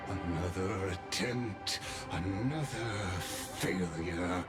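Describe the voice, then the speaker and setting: An actor playing an imposing, coldly challenging antagonist in a video game, theatrical and portentous. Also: male voice, low voice, slow pace. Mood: cold, taunting. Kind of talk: dramatic video game voice acting. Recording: game audio under very loud music.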